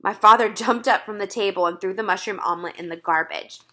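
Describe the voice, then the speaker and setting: A woman's voice: mid-range voice, fast talker, sharp tone. A woman storyteller reading a children's book aloud as scripted narration.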